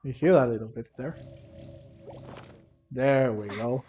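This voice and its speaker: A man giving casual let's-play commentary, rambling and halting as he thinks aloud. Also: mid-range voice, highs cut off. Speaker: gaming commentator. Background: video game music.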